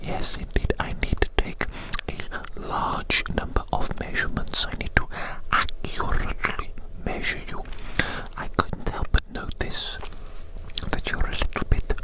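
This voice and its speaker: A breathy whisper carries this ASMR roleplay from start to finish, with no voiced tone. The speaker plays an examiner, soothing and deliberate, often saying short phrases twice over. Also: poor audio. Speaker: ASMR performer playing an examiner taking measurements.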